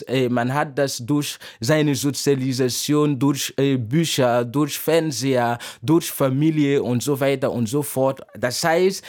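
A man from from there, speaking in German, answering interview questions in an unhurried, measured way. He searches for his words, pausing often and filling gaps with hesitations, and his voice sits at an ordinary middle pitch. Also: a little mellow. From Burkina Faso. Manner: thoughtful, frequent hesitations and fillers. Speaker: guest, musician and doctoral student.

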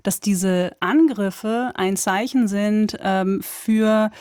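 A woman's voice: mid-range voice, extremely slow, darkish tone, clean tone, no room sound, clean studio sound. A woman hosting a live radio interview, feeling her way into her questions in a conversational manner.

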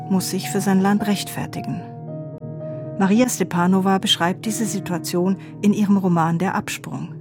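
A woman presenting a radio culture feature, reading a scripted narration in a calm, measured way. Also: mid-range voice.

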